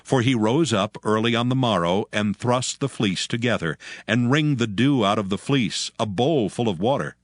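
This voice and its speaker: A man narrating scripture from a script in a solemn, measured manner. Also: fairly low pitch, no echo, studio quality. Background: music.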